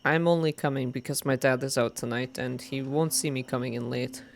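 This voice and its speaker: Low, hesitant young man done by a woman. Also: fairly even intonation, a little fast, gravelly, slight background noise. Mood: reluctant. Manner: mumbling, reluctant. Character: hesitant, loyal young male friend.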